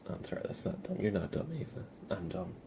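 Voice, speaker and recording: A man hosting an ASMR livestream, chatting playfully and softly with viewers, often slipping into whispers and rambling as he goes. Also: a little fast, noisy background. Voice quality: soft, often breaking into whispers. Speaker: livestream host. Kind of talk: ASMR livestream chat, reading and answering viewer comments.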